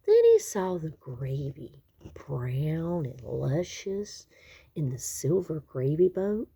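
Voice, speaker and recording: A woman reading a children's picture book aloud expressively, with dramatic, drawn-out emphasis on key words. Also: low-pitched, sing-song, creaky, dark tone, husky. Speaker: children's story reader.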